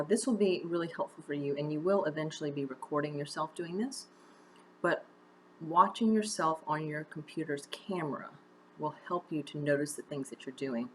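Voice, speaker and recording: A woman with a notably low voice leads a video lesson as a drama teacher. She talks conversationally and encouragingly, guiding the learner with direct questions. There is faint ambient noise in the home recording. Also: low-quality recording. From United States. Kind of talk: instructional video mini-lesson.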